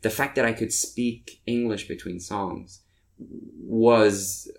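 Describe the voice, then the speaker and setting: A man's voice: fairly low pitch, fairly even intonation, slow, vocal fry. A man, a musician chatting in an interview, rambling with frequent restarts. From Norway. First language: Norwegian. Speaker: musician guest. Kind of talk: casual interview chat.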